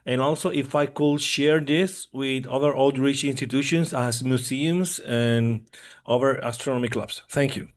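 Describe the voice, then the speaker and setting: A man's voice: mid-range voice, fairly even intonation, measured pace. A man on a video call politely asking a question, at times searching for words. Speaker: audience member asking a question.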